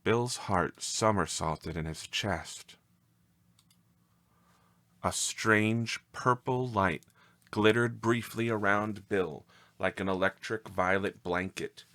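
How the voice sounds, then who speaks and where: Deep-voiced man narrating a story, unhurried. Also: bright, forceful tone, no room sound. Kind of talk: expressive scripted story narration.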